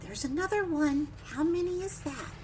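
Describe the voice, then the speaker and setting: A woman leading preschool story time, reading a picture book with simple, expressive asides and questions to young children. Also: sits high, moderate pace, very noisy.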